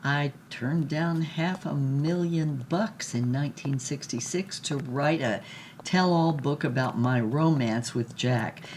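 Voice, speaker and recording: A woman's voice: deep voice, takes it slowly, a little mellow, a little hiss or hum. An actress playing a brassy, worldly old former film starlet, telling her story in a knowing, theatrical way in an audio drama. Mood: wry, proud.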